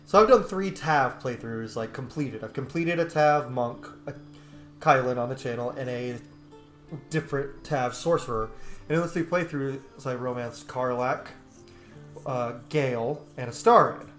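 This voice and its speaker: A man giving casual gameplay commentary, blunt, opinionated and quick to swear. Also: medium pitch, a bit bright. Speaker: gaming streamer. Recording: roomy echo, game music behind. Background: game music.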